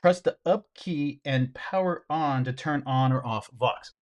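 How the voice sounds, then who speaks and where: A man's voice: medium pitch, a little slow. A man giving a casual product review and demonstration, talking informally to viewers as he handles the gear.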